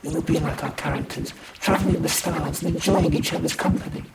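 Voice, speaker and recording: Man playing an anxious, high-pitched pleading alien. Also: brisk, lightly acted. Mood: soothing. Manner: earnest, breathless, soothing. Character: anxious, pleading, long-winded alien actor in love. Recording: noisy amateur recording, distant microphone.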